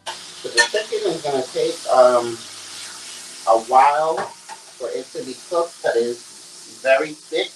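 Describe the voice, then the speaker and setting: A young man talks his viewers through a recipe at an unhurried pace, checking in with "okay" and "guys". His voice is mid-pitched and comes from a few feet off the camera. The small kitchen around him rings a little, with faint music and sizzling underneath. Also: animated, noisy background. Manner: chatty, keeps saying "okay" and "guys". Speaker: cooking vlogger. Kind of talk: casual cooking demonstration. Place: small home kitchen, indoors. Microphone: camera set on the counter, away from him. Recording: echoey kitchen, voice from a distance.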